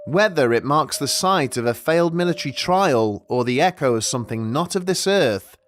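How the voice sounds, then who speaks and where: A man's voice: fairly high pitch, animated, moderate pace. Man narrating a mystery documentary over music. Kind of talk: scripted documentary storytelling narration. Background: background music.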